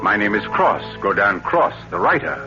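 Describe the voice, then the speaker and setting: An old, muffled radio thriller recording carries a suave male mystery writer. His low voice swings expressively as he spins a sinister tale with urbane, theatrical deliberation. Music plays clearly behind him. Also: big pitch swings. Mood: self-assured, smooth. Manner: urbane, dramatic, deliberate storytelling. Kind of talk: 1940s radio thriller acting.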